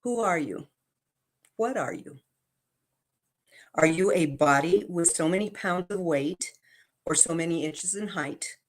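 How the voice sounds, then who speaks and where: A woman reading affirmations and spiritual texts aloud in a measured, devotional way. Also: fairly low pitch. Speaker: affirmations and scripture reader.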